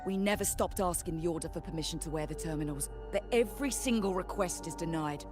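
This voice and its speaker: A woman's voice: fairly low pitch, fast, lightly acted. A woman as an aggrieved, defiant commoner. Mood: indignant. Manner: plain-spoken, protesting. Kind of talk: scripted game voice acting. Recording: background music.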